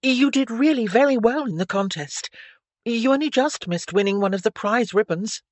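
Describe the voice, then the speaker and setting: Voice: medium pitch, animated, moderate pace, no room sound, pitched above the performer's own voice, close to an everyday voice. A narrator voicing an eager, chatty, polite teenage girl of the gentry in an audiobook. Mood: bright, polite. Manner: earnest, polite, chirpy. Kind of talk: audiobook character dialogue.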